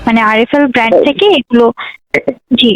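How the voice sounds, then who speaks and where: A woman's voice: a little high, steady tone, brisk, dull audio, background noise. A young Bangladeshi woman working as a customer service agent, speaking in a polite, formulaic, formal way on the phone.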